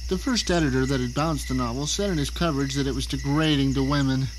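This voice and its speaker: A man acts out a captive male novelist in an audio drama, recounting his ordeal in a theatrical, exclamatory way. He sounds panicked and indignant. The track is clearly noisy, with insect-like chirping behind the voice. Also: medium pitch, fast, close to an everyday voice. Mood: wry, indignant. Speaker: narrator and lead actor. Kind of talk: dramatic first-person audio drama narration.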